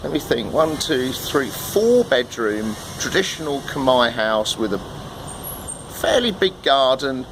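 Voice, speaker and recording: A man's voice: mid-range voice, sing-song, slow pace, noisy background. A man in a casual vlog who chats to the camera from an outdoor balcony, often repeating and restarting his words. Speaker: vlogger.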